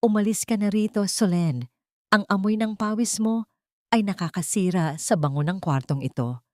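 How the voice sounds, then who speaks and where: A woman's voice: medium pitch, moderate pace, darkish tone, no room sound, clean studio sound, natural voice. Woman voicing a cruel, scheming rich wife, haughty. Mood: disdainful. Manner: haughty, taunting, menacing. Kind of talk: audiobook melodrama villain.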